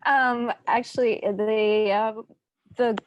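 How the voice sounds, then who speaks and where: A woman answering interview questions as a filmmaker, conversational and measured, pausing between short phrases. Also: on the high side, steady tone, highs cut off.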